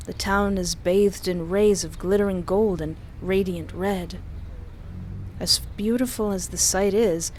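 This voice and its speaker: A woman reading a visual novel aloud as a streamer, with expressive, storyteller-like narration and a playful manner. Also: mid-range voice, a little hiss or hum. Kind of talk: expressive scripted narration with casual asides.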